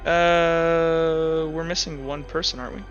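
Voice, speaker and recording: Game master, male. High register, sharp bright edge, faint music underneath, casual and halting delivery. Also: little pitch movement, muffled recording. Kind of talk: narrating an online tabletop roleplaying game.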